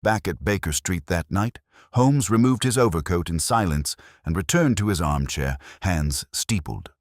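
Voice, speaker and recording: A man's voice: low voice, expressive, moderate pace, no room sound, studio quality. A man reading a detective story aloud as scripted audiobook narration.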